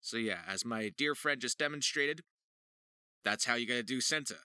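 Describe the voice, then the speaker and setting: A man's voice: mid-range voice, normal speed, bright, forceful tone, clear, resonant tone, no echo, clean studio sound. A man hosting an informal voice-acting tutorial, talking straight to viewers like an earnest coach. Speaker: voice actor and video host.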